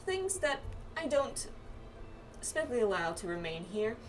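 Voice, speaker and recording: A woman's voice: a little high, big pitch swings, moderate pace, slight background noise. A woman doing casual, reactive video game playthrough commentary and reading dialogue aloud. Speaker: gamer playing through a video game. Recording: distant mic under clear background music. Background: music.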